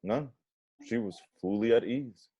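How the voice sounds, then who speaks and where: A man's voice: medium pitch, measured pace, dark tone, breathy edge, highs cut off. A man playing an incredulous, analytical housemate in a table read of a comedy script.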